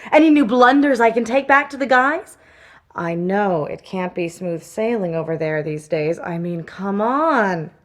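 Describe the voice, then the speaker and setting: A woman's voice: mid-range voice, animated, measured pace, close to an everyday voice. An actress playing a nosy, gossipy woman prodding for news in a video game. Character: nosy, gossipy female acquaintance fishing for news. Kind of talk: video game character dialogue.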